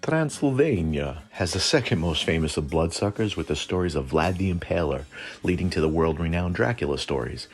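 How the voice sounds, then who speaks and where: Deep, gravelly man narrating. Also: animated, fairly quick, faint music behind. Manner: dry joking asides. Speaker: host and narrator of a lore show. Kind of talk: scripted narration.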